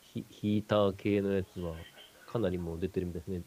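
Man, mellow timbre, brisk pace, casual radio explanations. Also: sits low, fairly even intonation. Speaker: radio show panelist.

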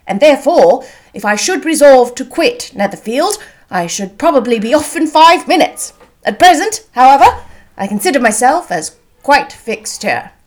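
A woman voicing an amiable, courteous young gentleman in a period novel, earnest and emphatic. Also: high voice, animated, normal speed, voice pushed up. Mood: breezy.

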